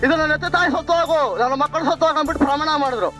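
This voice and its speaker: Very high-pitched insistent man, music behind. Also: very fast. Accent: southern Karnataka Kannada. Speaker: local resident voicing grievances. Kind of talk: spontaneous street opinion.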